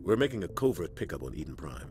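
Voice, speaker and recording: An actor playing a gruff male ship captain who gives authoritative briefings. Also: deep voice, sing-song, fast talker. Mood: serious. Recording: game audio under music.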